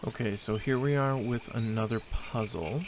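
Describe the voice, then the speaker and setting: A man's voice: medium pitch, normal speed, background noise. A man giving casual, chatty commentary while playing a game, thinking aloud and poking fun at himself. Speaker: let's play host. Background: loud game music.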